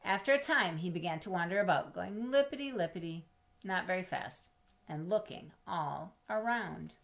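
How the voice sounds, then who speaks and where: Middle-aged female storybook reader, slightly low pitch, measured and expressive delivery aimed at small children. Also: vocal fry. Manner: expressive, measured, speaking to young listeners. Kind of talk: children's read-aloud with chatty asides. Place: indoors in a home room with bookshelves.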